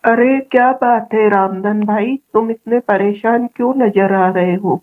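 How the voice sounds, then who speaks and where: A woman's voice: medium pitch, steady tone, unhurried, natural voice. Woman acting a kindly village man, slightly dull sound. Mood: concerned. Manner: friendly, advising. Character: kindly, helpful, reassuring male villager friend. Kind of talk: Hindi cartoon story acting.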